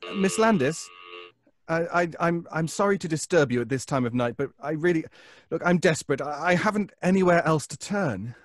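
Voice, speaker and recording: An actor playing a nervous, obsessive neighbor in an old-time radio suspense drama, stammering and pleading. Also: male voice, a little high, animated, a little fast, no echo, natural voice. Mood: desperate pleading. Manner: stammering, hesitant, breaking off.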